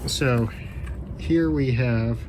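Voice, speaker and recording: A man giving an unhurried, matter-of-fact hobbyist demonstration in a casual way. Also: medium pitch. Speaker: radio hobbyist reviewer. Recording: noisy, radio static and hiss.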